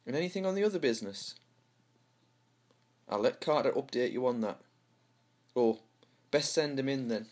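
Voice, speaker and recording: A man's voice: mid-range voice, animated, moderate pace, long pauses, darkish tone. A man with a Houghton-le-Spring pitmatic accent from North East England, reading play dialogue as an amateur. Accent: Houghton-le-Spring pitmatic, North East English. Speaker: amateur script reader. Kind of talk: dramatic dialogue read-through.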